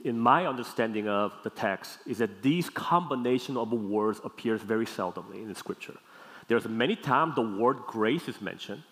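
Male pastor, Korean accent, mid-pitched voice. Deliberate, emphatic teaching delivery, recorded with long hall reverb. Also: fairly bright tone. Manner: didactic, deliberate phrasing with emphatic repeats and check-in questions. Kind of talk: teaching sermon. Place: large hall, speaking to a congregation.

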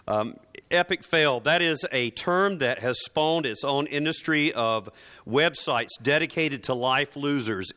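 A man's voice: on the high side, sharp tone. A man preaching a sermon in a conversational, wry manner, often posing rhetorical questions.

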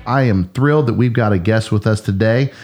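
A man's voice: fairly low pitch, brisk, darkish tone, faint music behind. A man who is a pastor, hosting a casual podcast in a playful, rambling way and laughing often.

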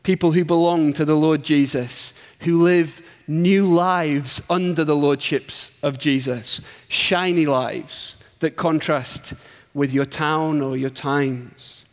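A man's voice: a little high, fairly even intonation, slow pace, big-room sound. A man with an English accent, a guest preacher delivering an earnest sermon with emphatic build-ups. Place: church sanctuary.

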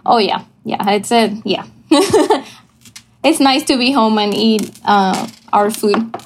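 Woman laughing through a video call. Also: a little high. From Venezuela. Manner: warm, laughs often, halting with fillers. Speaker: missions pastor. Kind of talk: casual interview chat. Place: family home.

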